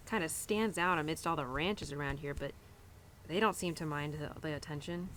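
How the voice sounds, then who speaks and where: A woman's voice: low voice, normal speed, fairly bright tone, slight background noise. A young adult woman playing a stubbornly independent, conflicted cowgirl in an audio roleplay, confiding in her horse in a chatty, hesitant, informal way. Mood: fond, gossipy. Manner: chatty, hesitant, informal, peppered with "like" and "you know". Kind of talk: audio roleplay monologue, intimate and conversational.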